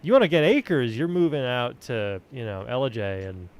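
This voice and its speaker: A man in casual conversation, animated and blunt, given to swearing and joking exaggeration. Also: medium pitch, moderate pace. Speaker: stream co-host, narrator. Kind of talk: casual chat.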